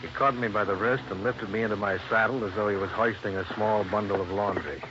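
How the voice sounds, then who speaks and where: An actor playing a wry, hardboiled American investigator, dry and wisecracking, in old radio detective drama. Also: male voice, lowish voice, fairly even intonation, fairly quick, background noise, lightly acted. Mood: wry narrating. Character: wry, hardboiled American insurance investigator narrating his case. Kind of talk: 1950s radio detective narration and dialogue. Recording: muffled old radio recording.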